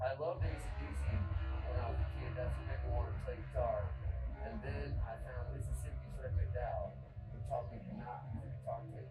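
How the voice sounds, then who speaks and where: A middle-aged man from Arizona, a guest musician telling stories in a relaxed, wry way during a casual interview. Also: medium pitch. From Arizona, USA. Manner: relaxed, wry storytelling with laughs. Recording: distant, phone-like, echoey with music.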